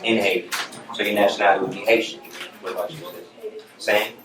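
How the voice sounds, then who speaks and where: Low-voiced man quizzing a classroom. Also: steady tone, crowd noise. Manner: brisk, coaxing, streetwise questions. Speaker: guest speaker at a high school. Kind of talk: classroom talk with students. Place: high school classroom. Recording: roomy, distant, noisy classroom recording.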